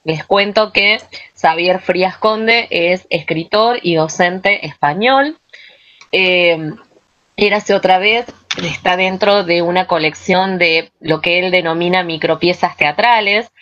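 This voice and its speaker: A woman's voice: on the low side, slow, a bit bright. A woman with an Argentine accent presenting slides as a workshop presenter over a video call. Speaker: workshop presenter, script adapter. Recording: dull lo-fi video-call audio.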